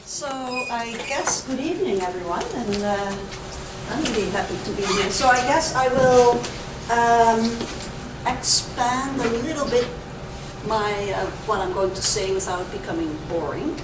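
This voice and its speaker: A woman's voice: a little high, lively intonation, unhurried. A woman with a Dutch accent, raised in the Caribbean, giving an artist talk with a hesitant, filler-heavy manner. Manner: hesitant, lots of ums and uhs. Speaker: visiting artist. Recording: echoey room from far off with noise.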